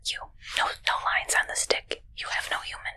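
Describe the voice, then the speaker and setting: A woman voicing a curious, suspicious alien who poses as a human medical examiner in an ASMR roleplay, speaking in halting, clipped, detached phrases. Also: natural voice. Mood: puzzled, probing.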